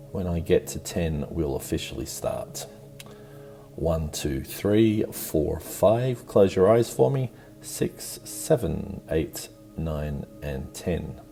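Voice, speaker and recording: A man leading a guided meditation with gentle, encouraging, unhurried instructions. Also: low voice, vocal fry. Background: music.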